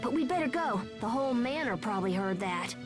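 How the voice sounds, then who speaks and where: A woman's voice: fairly high pitch, a little fast, natural voice. A woman playing a brash, headstrong young boy with a caring big-brother streak in a video game dub. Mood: excited, surprised. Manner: casual, lively, impulsive. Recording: music under the voice.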